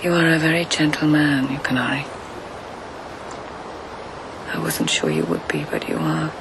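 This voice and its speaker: An actress playing a dreamy, tender, observant court lady in a radio drama, musing in a hushed, breathy way. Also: deep voice, big pitch swings, normal speed, noisy background. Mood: dreamy, intimate. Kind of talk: intimate radio drama acting.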